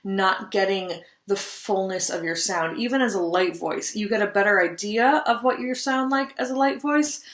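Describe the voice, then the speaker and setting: A woman's voice: medium pitch, moderate pace, few pauses, a bit bright, reverberant. A woman singer giving casual audition tips in a vlog, chatty with many ums and restarts. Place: home room, just moved abroad.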